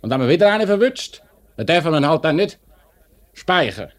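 Strict swiss german factory foreman, old radio play, blunt, really animated pitch. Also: brisk. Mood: unbending. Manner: blunt, insistent. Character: stern, unbending factory foreman, a grown man. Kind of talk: 1950s radio play acting.

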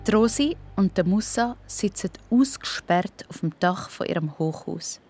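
A woman's voice: medium pitch, expressive, a little slow, darkish tone, soft background music. A woman with a Swiss accent narrating a scripted audio story for children.

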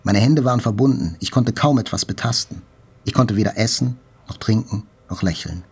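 A man narrating a literary first-person story for a radio drama in a measured, reflective manner. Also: mid-range voice, darkish tone, breathy edge.

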